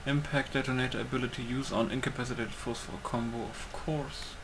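A man's voice: mid-range voice, steady tone, brisk. A man giving casual, rambling game commentary, thinking aloud and repeating himself. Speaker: gamer commentating on own playthrough. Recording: clearly noisy recording.

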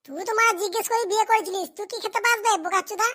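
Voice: extremely high pitch, racing, pushed and bright. A performer voicing a brash, foul-mouthed, impatient young bridegroom in a comedy cartoon, with crude, blunt complaining. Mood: annoyed.

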